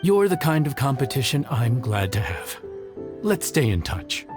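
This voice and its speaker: Friendly folksy game cook, really animated, music underneath. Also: male voice, mid-range voice, a little slow. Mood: friendly, impressed. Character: genial, gracious male inn cook praising his rival. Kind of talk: video game voice acting.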